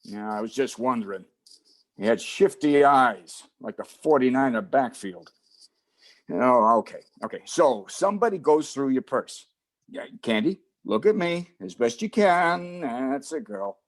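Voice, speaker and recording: A man's voice: mid-range voice, big pitch swings, a little slow. A man playing an exasperated but fond police detective in an old-time radio drama, talking in hectoring slang. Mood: questioning. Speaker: supporting actor in community theatre radio recreation.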